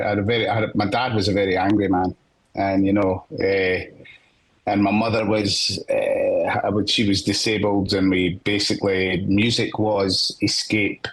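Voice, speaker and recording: A Scottish man with a husky, breathy voice speaks as a podcast guest. His voice sits a little low, and he tells his story in an earnest, reflective manner. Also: rough recording. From Scotland. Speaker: guest singer-songwriter. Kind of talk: podcast interview.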